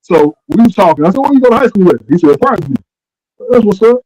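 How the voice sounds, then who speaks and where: A man's voice: high-pitched, very animated, fast talker, a little mellow, no room sound, lo-fi sound. A man, co-hosting a casual sports chat and telling laid-back stories. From Arkansas. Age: 40s. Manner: laid-back storytelling, trails off with "you know what I'm saying". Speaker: co-host.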